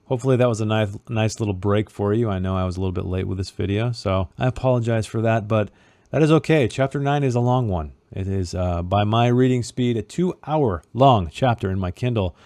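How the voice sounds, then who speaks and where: Guy chatting through a book chapter, casual asides, dark mellow voice, very dry sound. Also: lowish voice, fairly quick, no echo. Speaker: book club host. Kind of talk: casual chapter recap with read-aloud passages.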